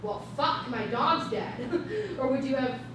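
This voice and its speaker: A woman's voice: sits high, a little slow. A woman playing a sassy, sarcastic talking dog in a staged play reading. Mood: sardonic. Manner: sarcastic, slangy. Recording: echoey, noisy stage recorded from far off.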